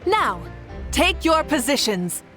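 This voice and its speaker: An authoritative woman presiding over a tournament in a video game. Her voice is high and bright, with formal, declamatory phrasing. Faint music sits behind her. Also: sing-song, slow pace, full character voice. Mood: rousing. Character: commanding female tournament host, formal and rousing.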